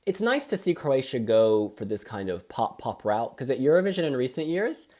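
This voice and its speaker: A woman co-hosting a casual review chat, talking in an animated, chatty and opinionated way. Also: a bass voice, moderate pace. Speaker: co-host.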